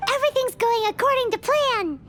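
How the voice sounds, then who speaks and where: A woman voicing a tiny, childlike, excitable sidekick in a video game, with a squeaky voice and bubbly, exclaiming manner. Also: fairly quick. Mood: pleased. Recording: loud game music over the voice.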